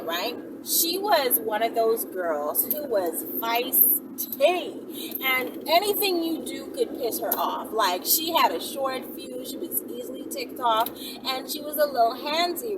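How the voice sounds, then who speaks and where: A woman in her forties telling a story in a chatty, playful, rambling way, like a casual vlog. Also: high-pitched. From the Bahamas. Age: 40s. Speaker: vlogger telling stories to viewers. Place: inside a moving vehicle. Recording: distant mic, vehicle noise.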